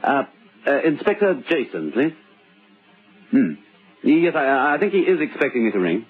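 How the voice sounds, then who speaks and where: Actor as a halting scheming husband, tinny old radio. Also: male voice, mid-range voice, very animated, faint music behind, close to an everyday voice. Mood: nervous, falsely concerned. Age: middle-aged. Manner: halting, muttering, stammering. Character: scheming forty-year-old husband hiding a crime, nervous, self-satisfied. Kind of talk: old radio drama acting.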